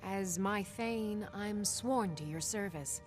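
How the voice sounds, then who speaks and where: Actress as loyal female warrior, stoic, earnest, medium pitch, fantasy game, soft background music. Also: slow, close to an everyday voice. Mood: dutiful. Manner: earnest, dutiful. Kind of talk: fantasy video game acting.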